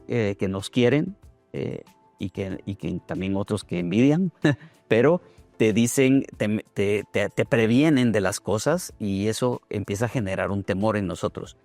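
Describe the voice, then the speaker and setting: A man hosting a conversational interview, philosophizing in a halting way and repeating words as he thinks. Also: lowish voice, darkish tone, no room sound. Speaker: podcast host interviewing a guest.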